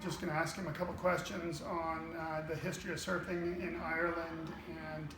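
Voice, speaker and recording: A man's voice: a little high, flat delivery, normal speed. A man from California, interviewing in a laid-back way, with lots of fillers. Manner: laid-back, filler-heavy surfer talk. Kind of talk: casual interview. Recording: echoey, crowd noise behind.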